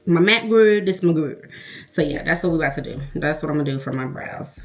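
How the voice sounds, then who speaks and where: A woman's voice: low-pitched, expressive, a little fast, faint music behind. A woman, chatting casually and confidingly with her viewers while doing her makeup at home. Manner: chatty, confiding, addresses viewers as girl and y'all. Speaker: beauty vlogger. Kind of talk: casual get-ready-with-me chat.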